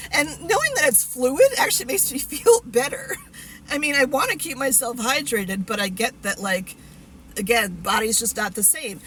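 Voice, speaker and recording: A woman's voice: fairly high pitch, expressive, normal speed, fairly bright tone, a little hiss or hum, poor audio. A woman in her fifties talking casually to the camera, candid and rambling with many fillers and restarts. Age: 50s. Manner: candid, laughs at herself. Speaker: vlogger.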